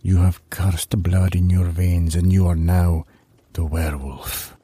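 Man voicing old woman fortune teller, slow, hushed, grave, dark tone. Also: low-pitched, little pitch movement, slightly rough, dry recording. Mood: solemn.

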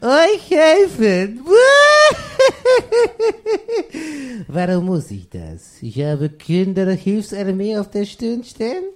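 A man playing a sinister, short-tempered villain boss in a comedic audio play, with a drawn-out, gloating delivery. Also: extremely high pitch, huge pitch swings, full character voice. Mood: scornful.

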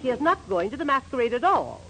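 A woman's voice: high voice, sing-song, fast talker, pushed and bright, full character voice. A woman playing a domineering middle-aged wife and mother in 1940s radio comedy, stern, formal and indignant. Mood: indignant, bossy. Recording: faintly noisy 1940s radio recording.

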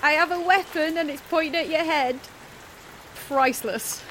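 A woman's voice: high-pitched, moderate pace, a bit bright, a little hiss or hum, rough recording. A woman playing a wry, guarded seventeen-year-old girl in a naturalistic science fiction audio drama. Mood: teasing. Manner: wry, sardonic. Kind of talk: naturalistic audio drama acting with inner narration.

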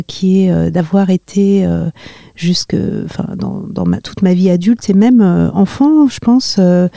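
A woman in her fifties from the Paris region, a podcast guest talking hesitantly with many pauses and fillers. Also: mid-range voice, vocal fry, warm tone, dry recording, clean studio sound. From Paris region, France. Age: 50s. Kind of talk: podcast interview.

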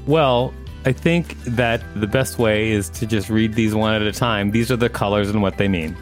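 A man's voice: lowish voice, moderate pace, faint music behind. Man co-hosting a read-aloud podcast. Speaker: podcast co-host reading wiki articles. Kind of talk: casual banter mixed with reading aloud.

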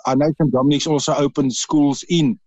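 A man's voice: fairly high pitch, fairly even intonation, fairly quick, dark tone, lo-fi sound. A man from South Africa with a South African accent, calling in to a radio interview and talking earnestly, with frequent halts and restarts. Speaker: radio caller.

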